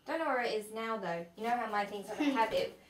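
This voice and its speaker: A friendly bedtime storyteller, played by a woman, with a fairly high voice in an echoey room. She is the teasing elder relative, reading fairy tales over faint noise. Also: expressive, quick pace, lightly acted. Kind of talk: bedtime storytelling in amateur drama. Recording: roomy echo, faint background noise.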